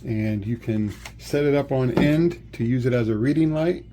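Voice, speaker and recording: A man's voice: medium pitch, moderate pace, dark tone. A man giving a casual product demonstration, explaining it plainly step by step. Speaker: product reviewer. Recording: faint background noise, room ambience.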